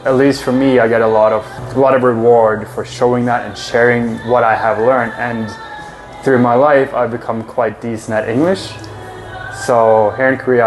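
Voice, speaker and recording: A man from Norway, a native Norwegian speaker, giving easygoing, earnest interview answers as an actor. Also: lowish voice. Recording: roomy, distant mic, music underneath.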